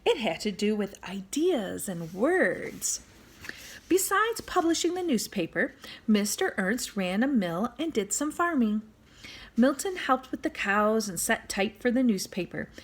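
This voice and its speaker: A woman reading a children's book aloud as an expressive storyteller, speaking directly to young listeners. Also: a little high, measured pace, husky. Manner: animated, addresses young listeners with questions and asides.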